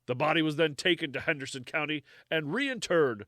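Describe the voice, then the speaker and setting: A man putting on the voice of an old-time radio newscaster, reading a period news report in a brisk, clipped, high announcer style. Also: pushed and bright, no room sound, studio quality. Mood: formal.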